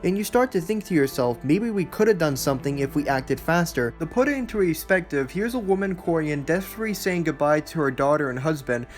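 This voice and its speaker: A man's voice: fairly high pitch, animated, brisk, few pauses, soft background music. A man hosting a video essay, reading scripted countdown narration in wordy, awkward phrasing.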